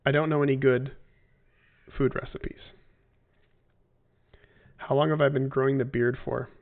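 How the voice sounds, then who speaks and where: A man's voice: mid-range voice, little pitch movement, a little slow. A man in his forties answering fan questions in a casual livestream, rambling and hesitant with lots of fillers and restarts. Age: 40s. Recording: tinny phone-like audio.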